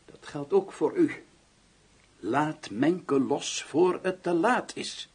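Very husky, aggrieved sixty-year-old official. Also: male voice, fairly high pitch, expressive, slow pace, heavily acted. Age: 60s. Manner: formal, testy, self-justifying. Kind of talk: Dutch radio drama acting.

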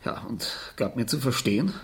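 An actor playing a troubled, reserved uncle in a German radio crime drama, speaking haltingly and searching for words. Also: male voice, mid-range voice, expressive, natural voice. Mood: troubled, hesitant. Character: troubled uncle, reserved gentleman explaining his suspicions.

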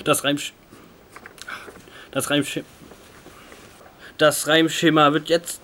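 Halting male comic doing repeated takes: slow, fairly high, faint background noise. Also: level tone, pushed and bright. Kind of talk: recorded comedy takes with restarts.

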